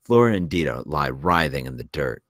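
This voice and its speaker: A man narrates a screenplay in a very deep voice. He reads out scene and action directions as scripted narration. The sound is dry, with little room. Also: sing-song, moderate pace, no echo. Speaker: narrator reading stage directions.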